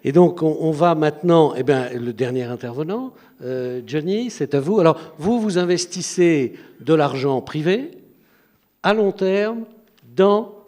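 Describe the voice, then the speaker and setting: A man's voice: fairly high pitch, animated, measured pace, darkish tone. A man moderating a conference panel, explaining terms and introducing speakers in a conversational way with fillers.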